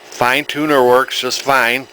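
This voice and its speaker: Man, bright forceful voice, casual technical commentary, plain-spoken, thinking aloud, faint background noise. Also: medium pitch, flat delivery, slow pace, rough recording. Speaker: electronics repair technician and video host. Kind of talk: casual hands-on repair commentary.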